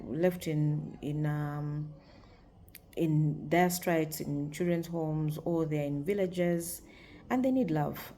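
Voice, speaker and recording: A woman in her forties speaks to camera in a deep, low, gravelly voice with a mellow tone. Her talk is frank and upbeat, and she laughs easily. A faint hum of background noise sits under the voice. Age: 40s. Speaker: vlogger.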